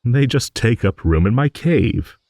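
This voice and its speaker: A man playing a gentle, courteous old dragon who rambles hesitantly in an improvised fantasy audio roleplay. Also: mid-range voice, expressive, no room sound, clean studio sound. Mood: bemused, modest. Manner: rambling, hesitant, genteel, with musing hums and pauses.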